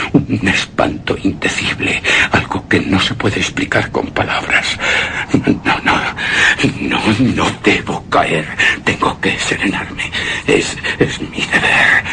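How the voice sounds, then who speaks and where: An actor playing a tormented man keeping a deathwatch, speaking in a halting, haunted, dramatic way in a suspense and horror radio play. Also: on the low side, slight background noise, full character voice. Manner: haunted, halting, dramatic, breaking into hushed whispers. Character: tormented male narrator keeping deathwatch over a shrouded woman.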